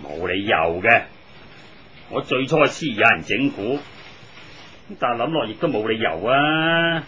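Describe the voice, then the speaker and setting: A man's voice: mid-range voice, measured pace, dull audio, a crowd in the background, natural voice. An actor playing a weary, grumbling, exasperated working man in a 1980s Hong Kong Cantonese radio drama. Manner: grumbling, sighing, excitable.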